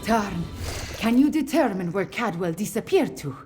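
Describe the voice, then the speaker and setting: A woman voicing a composed, regal cat-folk queen in a video game, speaking in a measured, formal manner. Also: medium pitch, animated, a little mellow, soft background music, natural voice. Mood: concerned. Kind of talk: scripted video game dialogue.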